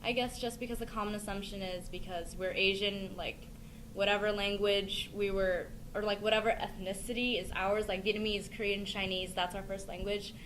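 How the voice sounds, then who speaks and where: A young woman, answering questions slowly and thoughtfully and often pausing on "I mean" and "like". Her pitch sits in a middling range. The recording carries a steady wash of background noise. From United States. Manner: thoughtful, hesitant, fillers like "I mean" and "like". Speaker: interviewee. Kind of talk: casual interview answers. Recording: noisy recording with ambient hiss.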